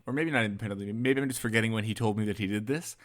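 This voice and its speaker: A man's voice: lowish voice, rapid-fire, fairly bright tone. A man in his twenties with a Canadian accent chatting casually as a podcast co-host, joking with mock emphasis. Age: 20s. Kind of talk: casual chat.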